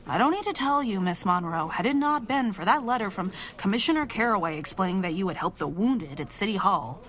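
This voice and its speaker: An actress playing a stern, reluctant head nurse in a radio drama, formal and scolding, with an air of authority. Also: female voice, medium pitch, expressive, fairly quick, fairly bright tone, a little hiss or hum, natural voice.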